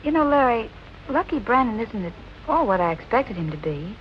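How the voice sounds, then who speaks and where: An actress playing a stubborn, determined young amateur sleuth, brisk and self-possessed, in 1940s film noir style. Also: female voice, fairly high pitch, big pitch swings, muffled recording. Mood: musing. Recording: noisy old film soundtrack.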